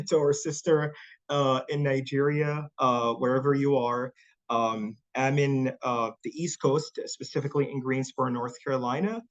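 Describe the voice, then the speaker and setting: A man's voice: medium pitch, dull audio. Thoughtful young man, hesitant speech. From Saudi Arabia. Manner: thoughtful, hesitant with many fillers and repeats. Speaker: guest speaker. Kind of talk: online panel talk.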